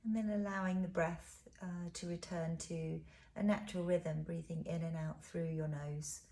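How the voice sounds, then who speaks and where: Low, mellow, creaky woman guiding meditation. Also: fairly even intonation. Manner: soothing, unhurried, gentle instruction with soft pauses. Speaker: relaxation and yoga teacher. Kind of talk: guided body scan meditation.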